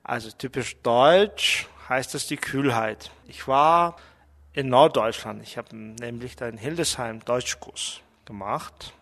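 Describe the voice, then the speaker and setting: A middle-aged man from Mongolia, speaking with a Mongolian accent and talking thoughtfully about his life, pausing as he searches for words. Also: mid-range voice, fairly bright tone, in a large hall. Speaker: interviewee. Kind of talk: portrait interview, personal reflections.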